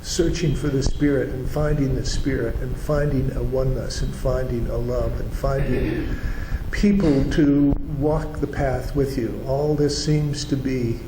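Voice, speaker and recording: Male host of a fellowship meeting: earnest, deliberate introduction, in an echoing, noisy room. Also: mid-range voice, fairly even intonation. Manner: earnest, repetitive, slow and deliberate. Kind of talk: spoken introduction to a congregation. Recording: echoey room with background noise.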